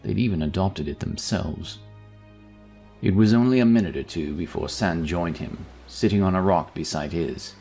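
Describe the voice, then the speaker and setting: A man's voice: low voice, moderate pace, creaky, warm tone, husky. A man reading scripted narration for a fantasy audio story. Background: background music.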